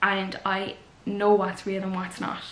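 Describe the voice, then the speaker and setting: A woman's voice: medium pitch, monotone, a little slow. A young woman vlogger giving earnest, rambling advice to the camera in a casual chat. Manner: chatty, earnest, rambling with fillers. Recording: echoey room.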